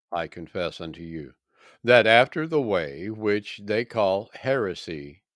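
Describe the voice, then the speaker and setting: A man voicing an accused apostle defending himself in a scripture reading, earnest, formal and measured. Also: lowish voice, dry recording, clean studio sound.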